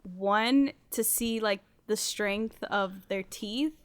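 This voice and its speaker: A woman's voice: medium pitch, takes it slowly, dry recording. A woman hosting a casual group podcast, talking informally and thinking aloud as she goes. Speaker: podcast host putting questions to the group.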